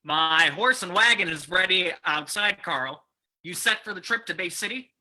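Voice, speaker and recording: An actor playing a menacing, smug saloon keeper in an old-time radio drama, with a folksy, mock-friendly taunting manner. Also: male voice, high voice, expressive, normal speed, sharp tone, heavily acted, poor audio. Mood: falsely friendly.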